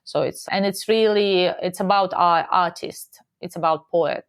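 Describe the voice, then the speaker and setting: A woman from Russia speaking with a Russian accent, a guest talking in a halting but lively way in a casual interview. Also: on the low side, smooth.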